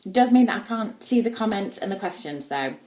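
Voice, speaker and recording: A woman's voice: mid-range voice, lively intonation, fairly quick, a little mellow. A woman with a northern English accent, chatting informally and explaining things as she hosts a casual live baby play demo at home. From northern England, Yorkshire. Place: indoors at a family house.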